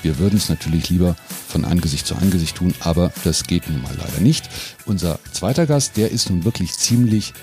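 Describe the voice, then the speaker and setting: A man's voice: low voice, animated, fairly quick, hardly any pauses, a little mellow, faint music behind. A man hosting an interview podcast in a conversational, wry, colloquial way. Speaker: podcast host.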